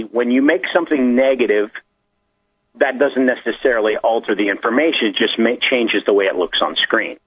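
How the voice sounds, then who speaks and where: A man's voice: mid-range voice, slightly rough. A man giving a conversational technical explanation, step by step, as a guest. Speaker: guest explaining digital documents. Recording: muffled, tinny phone line.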